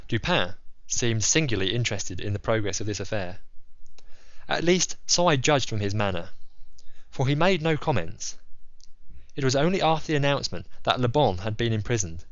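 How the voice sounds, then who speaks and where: Man, audiobook narrator, medium pitch, formal, grave, measured delivery, very dry sound. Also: expressive, dry recording, lo-fi sound.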